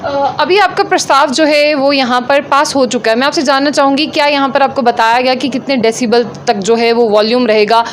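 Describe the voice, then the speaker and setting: A woman's voice: high-pitched, fairly even intonation, fairly quick, few pauses, a little hiss or hum. A woman working as a news reporter, putting wordy interview questions that recap before they ask.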